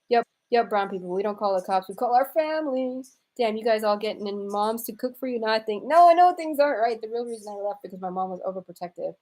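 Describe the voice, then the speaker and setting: A woman's voice: mid-range voice, a little mellow. An American woman from Houston chatting casually as a live-stream host, reading out and answering viewer comments in a wry, irreverent, slangy way. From United States, raised in Houston, Texas.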